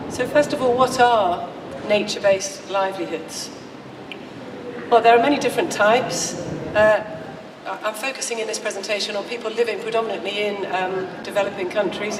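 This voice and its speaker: A woman with a croaky, strained voice gives a measured conference talk, explaining points step by step. She is picked up from far off in a large, echoing hall, with a long reverb tail and clear background noise. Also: mid-range voice. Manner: measured, explanatory, with occasional restarts. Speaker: researcher giving a conference talk. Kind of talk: conference presentation.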